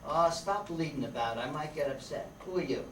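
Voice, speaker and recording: A man's voice: fairly high pitch, brisk, a bit bright, natural voice. Man playing a brash hard-boiled detective, echoey room. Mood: brash, irritated. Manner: slangy, brash, sardonic. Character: wisecracking, hard-boiled male private eye. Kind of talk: old-time radio detective narration and dialogue. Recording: roomy, noisy, distant microphone stage recording.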